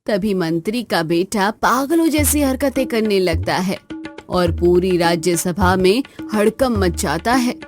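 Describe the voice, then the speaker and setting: A woman's voice: medium pitch, expressive, measured pace, faint music behind. A woman narrating a scripted cartoon story.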